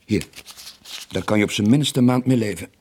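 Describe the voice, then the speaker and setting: An actor playing a weary, lonely sailor in his late forties, gentle but evasive, with a husky voice and a subdued, hesitant manner in a radio drama. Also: fairly low pitch, normal speed. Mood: concerned. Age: late 40s.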